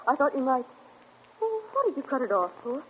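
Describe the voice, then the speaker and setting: A soft-spoken young wife. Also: female voice, high-pitched, very animated, brisk, slightly rough, exaggerated voice. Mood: tender. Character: young wife, gentle but haunted. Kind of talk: 1940s radio acting. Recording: muffled 1940s radio broadcast.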